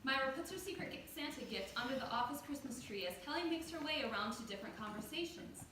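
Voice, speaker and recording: A woman reads scripted stage directions in a high voice. She sounds set back from the mic in a very echoey room, with clear background noise. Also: expressive, quick pace, a bit bright, distant mic. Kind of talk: scripted narration.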